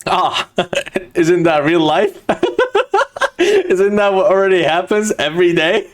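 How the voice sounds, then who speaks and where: A man's voice: high voice, lively intonation, slow pace. A young man co-hosting a casual podcast chat, teasing his friend and laughing often. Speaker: podcast co-host.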